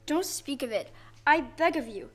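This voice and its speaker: A woman playing a kind, gentle tin woodman in a stage audio play, earnest and courteous in delivery. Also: high-pitched, expressive, normal speed. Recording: live stage recording with music.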